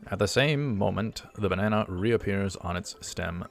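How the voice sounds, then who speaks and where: Male let's play host, low register, halting read-aloud narration with faint music underneath. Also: creaky. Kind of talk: reading a visual novel aloud. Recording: music behind the voice.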